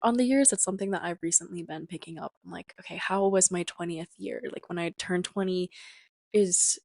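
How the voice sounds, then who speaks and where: A woman's voice: lowish voice, a little fast, vocal fry, a little mellow, no echo. A 21-year-old woman hosting a casual, reflective solo podcast in a chatty, rambling way with lots of filler words. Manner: chatty, rambling, sprinkled with "like" and "you know". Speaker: podcast host.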